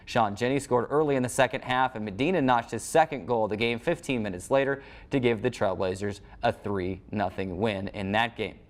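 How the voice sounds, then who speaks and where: American man hosting a sports highlights show. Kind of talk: scripted sports highlights narration.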